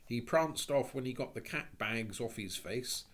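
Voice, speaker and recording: A boastful schoolboy brags briskly about a narrow escape, as a man reads him aloud. His voice sits somewhat higher than the narrator's, but it stays close to it. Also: animated, fairly bright tone.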